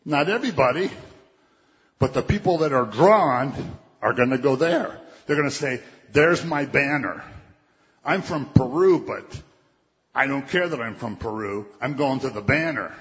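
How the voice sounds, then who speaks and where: A man's voice: mid-range voice, lively intonation, unhurried. A man preaching a sermon in a conversational way, quoting scripture and acting out what people would say. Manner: conversational preaching, quotes scripture, acts out voices. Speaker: pastor. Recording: dull, muffled sound with long hall reverb.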